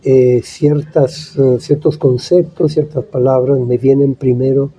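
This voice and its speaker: A man in his seventies, a native Italian speaker, giving thoughtful, measured interview answers with frequent pauses. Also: mid-range voice, steady tone, dark tone, breathy edge, rough recording. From Italy. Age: 70s. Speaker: guest writer.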